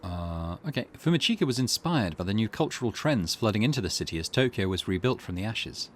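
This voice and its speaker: A man hosting a game playthrough, reading the on-screen text aloud and thinking out loud with small hesitations. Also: on the low side, lively intonation, brisk, a little hiss or hum. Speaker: gaming channel host.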